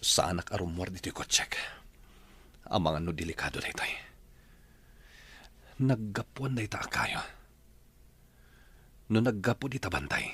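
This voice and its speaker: A man voices a defiant grown man in an audio drama, squaring up to armed robbers. His voice sits low but rings bright and forceful, and it rises and falls with plenty of life. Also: slightly rough, exaggerated voice. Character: bold adult man facing down armed robbers.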